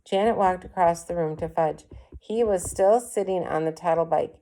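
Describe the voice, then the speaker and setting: A woman reading a children's story aloud as an expressive storyteller. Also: on the low side, moderate pace, low-quality recording. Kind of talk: expressive children's book narration.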